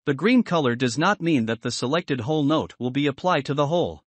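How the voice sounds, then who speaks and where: Higher-pitched man narrating a software tutorial. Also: expressive, fairly quick, slightly rough, no echo. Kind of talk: scripted instructional narration.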